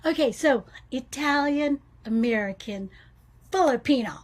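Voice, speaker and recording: A woman hosting a talk show interview, with an eager, drawn-out way of speaking. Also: sits high, animated, slight background noise.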